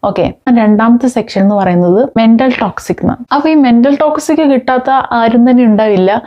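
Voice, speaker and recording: A woman's voice: fairly high pitch, fairly quick, muffled recording. A woman talking informally to viewers, explaining with examples and mixing in English words. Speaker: host of a parenting talk.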